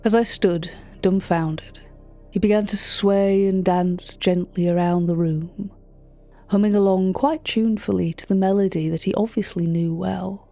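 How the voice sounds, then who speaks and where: A woman playing a polite, curious auction curator, narrating scripted audio fiction in a measured, literary way. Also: medium pitch, steady tone, mellow, no room sound, soft background music, lightly acted. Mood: hushed wonder. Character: curious, polite female auction catalogue curator telling eerie tales. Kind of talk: scripted first-person narration.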